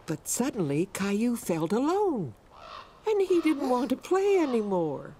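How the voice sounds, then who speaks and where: A woman's voice: on the high side, huge pitch swings, measured pace, warm tone, slight background noise, heavily acted. A woman narrating a preschool cartoon like a kindly storybook reader for small children. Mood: warm, storytelling.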